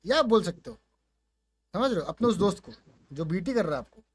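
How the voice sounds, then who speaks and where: A man with an Indian accent and a high-pitched voice presents gaming content in a casual way. His talk is informal and slangy, switching between Hindi and English. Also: sing-song, fairly quick, slightly rough. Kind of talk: casual commentary with demonstrations.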